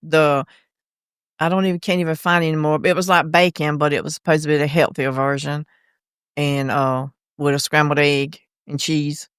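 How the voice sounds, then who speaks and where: Smooth-voiced Southern woman, chatty. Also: sits low, little pitch movement, normal speed, no room sound, clean studio sound. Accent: Southern US, South Carolina. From South Carolina. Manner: chatty, laughs often, trails off searching for words. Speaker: guest, mother of a son in recovery. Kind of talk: casual podcast conversation.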